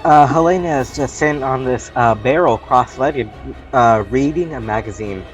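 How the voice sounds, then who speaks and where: Man, tabletop roleplayer, average pitch, casual, distant from mic, faint music. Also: normal speed. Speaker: player.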